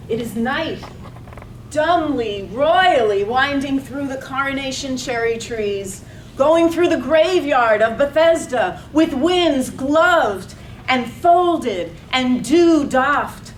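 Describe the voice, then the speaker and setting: A woman narrating a poetic play from a script, deliberately lingering on single words. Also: sits high, expressive, slight background noise.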